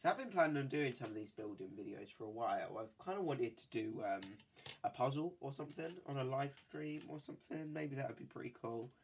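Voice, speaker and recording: A man's voice: medium pitch, lively intonation, fairly quick, a little hiss or hum. A man with a cold, chatting casually and rambling with many fillers while building a toy set at a desk. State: has a cold. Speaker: toy-building video host. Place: indoors at a desk.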